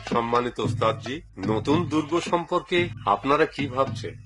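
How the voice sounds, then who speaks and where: A man's voice: medium pitch, normal speed, over music, close to an everyday voice. A man dubbing a resolute, commanding young sultan in a cartoon, speaking firmly. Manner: firm, declamatory.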